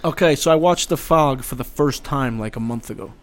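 A man guesting on a podcast, talking casually and haltingly, often repeating words. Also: medium pitch. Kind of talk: casual chat.

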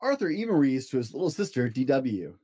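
A man narrating a children's storybook as a podcast host, in an expressive, encouraging way. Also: medium pitch, brisk, husky. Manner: expressive, encouraging address to young listeners. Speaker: podcast host reading children's books aloud.

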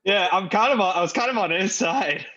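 A man's voice: high-pitched, expressive, a little fast. A man talking flippantly and slangily, joking around in a casual group chat. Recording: dull audio, group chatter behind.

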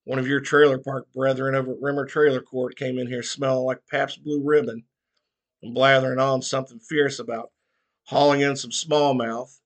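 A man's voice: mid-range voice, level tone, moderate pace, close to an everyday voice. A man voicing an old small-town barber, folksy and scolding.

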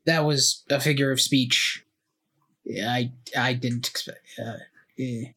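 A man's voice: mid-range voice, fairly even intonation, unhurried, bright, forceful tone, breathy edge. A man chatting sheepishly with friends during a tabletop game session.

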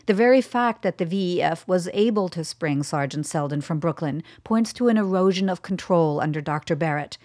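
An actress playing a careful middle-aged government scientist in a radio drama, speaking formally, with measured deference. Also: female voice, lowish voice, lively intonation, darkish tone, dry recording, clean studio sound. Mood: measured, earnest.

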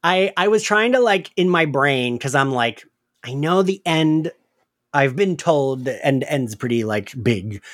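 Guy podcast host, lively pitch swings, casual sweary recap chat, close dry sound. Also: a little slow, dry recording. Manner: animated, conversational, swears casually.

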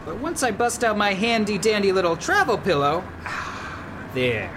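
A man playing an earnest, excitable, sweetly fussy band leader in a comedic radio play, theatrical and exclamatory. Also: high voice, measured pace, noisy background. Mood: tender, cosy. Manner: theatrical, exclamatory, talks to himself.

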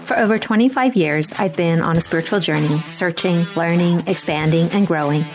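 A woman podcast host with a raspy, gravelly voice that sits low in pitch. She talks gently and unhurriedly, painting pictures as she leads an intuitive reading. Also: music in the background. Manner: gentle, unhurried, imagery-rich. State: raspy voice today. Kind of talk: guided intuitive reading, descriptive.

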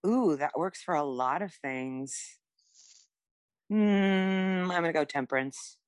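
A woman banters casually on a podcast. She is playful and irreverent, quick to poke fun at herself. The sound is very dry, with little room to it. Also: low-pitched, no room sound. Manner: playful, irreverent, self-deprecating. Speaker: podcast co-host.

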